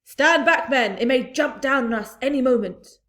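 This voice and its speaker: A woman's voice: sits high, normal speed, a bit bright, pitched above the performer's own voice. A woman voicing a blustering, panicky male police chief in a storybook reading. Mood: alarmed.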